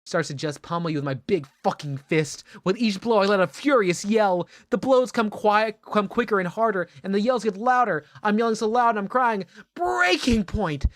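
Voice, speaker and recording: A man's voice: a little high, fairly even intonation, brisk, few pauses, no echo, close to an everyday voice. A man playing an enraged, melodramatic tough guy who rants and threatens in a mock-dramatic comedic reading. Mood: hysterical rage. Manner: ranting, repetitive, swearing threats. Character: enraged, melodramatic young tough guy bragging about three girlfriends.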